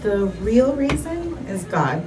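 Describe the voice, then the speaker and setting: A woman's voice: on the high side, very animated, takes it slowly. A woman from El Salvador, a skincare business owner, giving brief, matter-of-fact answers in a casual radio interview. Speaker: guest, aesthetician and business owner. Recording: distant mic with background noise.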